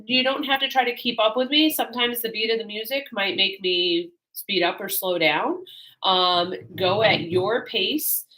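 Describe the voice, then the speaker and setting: A woman's voice: mid-range voice, a little slow, pushed and bright, rough recording. A woman fitness instructor, slightly congested, giving a friendly, rambling introduction to a home exercise class with many fillers.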